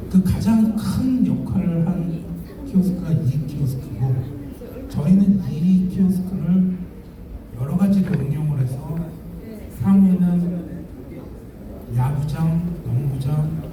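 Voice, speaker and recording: Echoey, distant male presenter with sore throat. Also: fairly high pitch. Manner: formal, polite, explanatory. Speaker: company chairman presenting at a business event. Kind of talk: business presentation to an audience. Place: event venue, public address to an audience. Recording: very echoey hall, distant, noisy.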